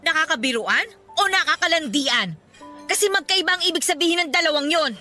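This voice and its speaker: Jealous young girlfriend, high-pitched, bright and forceful, accusing, sarcastic, radio drama. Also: fairly quick, soft background music. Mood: accusing, annoyed. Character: fed-up, jealous young woman confronting her secret boyfriend. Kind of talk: Tagalog radio drama acting.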